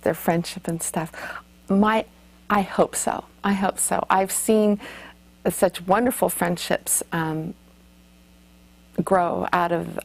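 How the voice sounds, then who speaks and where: Female theatre educator, middle-aged, gravelly fry in a lowish voice, interview setting. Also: rough recording. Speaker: youth theatre staff member. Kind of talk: documentary interview.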